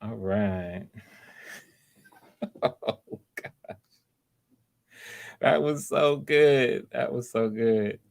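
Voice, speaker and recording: A man's voice: medium pitch, creaky. A man hosting auditions on a live video call, chatting casually and encouragingly, often repeating himself as he goes. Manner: effusive encouragement, repeats phrases, halting. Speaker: audition host, writer-director. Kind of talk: casual live-stream chat.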